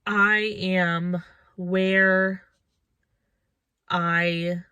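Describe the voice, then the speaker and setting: A woman's voice: on the low side, flat delivery, very slow, sharp tone, smooth, no room sound. A woman of about thirty chatting casually and reflectively to her viewers as a lifestyle vlogger, informal and thinking aloud.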